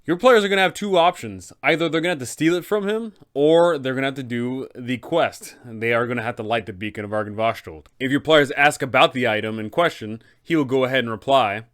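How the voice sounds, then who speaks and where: Enthusiastic guy explaining tabletop tips, smooth clear voice. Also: medium pitch, brisk, hardly any pauses, fairly bright tone. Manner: enthusiastic, upbeat. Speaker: game master guide host. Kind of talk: casual tutorial narration.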